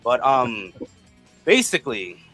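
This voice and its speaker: A man's voice: mid-range voice, wildly swooping pitch, takes it slowly, bright, forceful tone, soft background music. A man on a sports panel who talks casually and repeats phrases as he builds his point.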